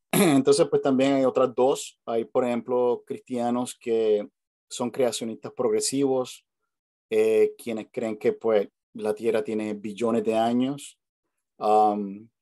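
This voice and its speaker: A man's voice: mid-range voice, level tone, takes it slowly, dark tone, no echo, studio quality. A man with a Puerto Rican accent, hosting a casual video call chat, talking hesitantly with many fillers and restarts. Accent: Puerto Rican Spanish. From Puerto Rico. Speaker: host. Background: family noise at home.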